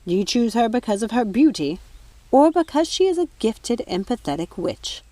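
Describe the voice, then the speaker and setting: A woman's voice: on the high side, sing-song, normal speed, mellow, dry recording. A woman voicing an older fairy nanny in a storybook, protective and remorseful, speaking with emphatic reproach. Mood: reproachful.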